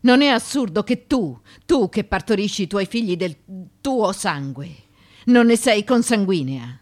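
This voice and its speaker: A woman's voice: medium pitch, expressive, a little slow, a little mellow. A woman acting in a radio drama as a proud, anguished former slave turned wife in ancient Athens, with an impassioned, theatrical delivery. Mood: defiant, impassioned.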